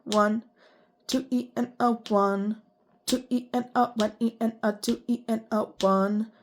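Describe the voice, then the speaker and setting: A woman music teacher with a congested voice, explaining rhythm reading and counting beats aloud in strict, even syllables. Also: on the high side, a little slow, a little hiss or hum. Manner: chatty and self-deprecating between counts.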